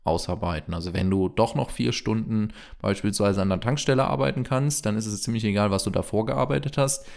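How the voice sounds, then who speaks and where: A man's voice: fairly low pitch, a little fast, vocal fry, few pauses, darkish tone. A man co-hosting a podcast, explaining things in a chatty way with many fillers and jokey asides. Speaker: podcast co-host. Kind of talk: casual explanatory chat.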